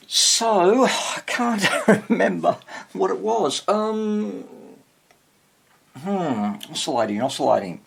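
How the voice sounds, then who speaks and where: A man's voice: high-pitched, creaky, husky. A man doing informal hands-on repair commentary as a vintage radio restorer, hesitant, self-deprecating and thinking aloud as he works. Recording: noisy workshop recording with clicks.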